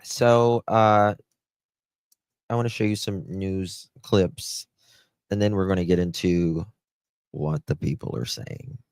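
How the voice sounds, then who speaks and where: A middle-aged man with a Southern US accent, hosting a casual livestream into a close desk mic in a halting, unhurried way. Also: low-pitched, steady tone, a little mellow, clean tone, dry recording. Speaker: livestream host. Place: small room at home, indoors. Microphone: close desk microphone.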